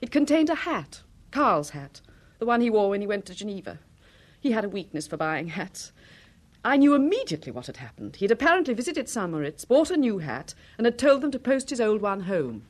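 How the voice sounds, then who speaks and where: A woman playing an anxious, insistent wife in a radio drama, speaking earnestly and with deliberate emphasis. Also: mid-range voice, big pitch swings. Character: anxious, insistent wife convinced her husband lives.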